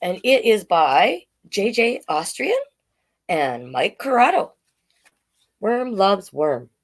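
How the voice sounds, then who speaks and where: A woman's voice: medium pitch, sing-song, takes it slowly. A woman hosting a children's livestream storytime as a drag king, chatting directly to viewers between pages.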